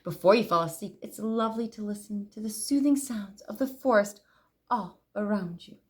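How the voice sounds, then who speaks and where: A woman's voice: mid-range voice, normal speed. A woman hosting a library storytime, reading a picture book aloud expressively with chatty asides to the children.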